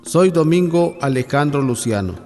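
Man in his forties, native Yokot'an speaker, slow poetry reading, slightly high voice, faint music bed. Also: lively intonation, a little mellow. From Tabasco, Mexico. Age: 40s. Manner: slow, measured recitation. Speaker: poet. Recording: long reverb with faint music underneath.

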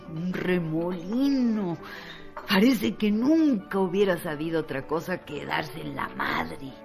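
A woman's voice: deep voice, exaggerated voice. An actress playing a doting, boastful Mexican woman who tells tales of her man in emphatic, colloquial radio drama style.

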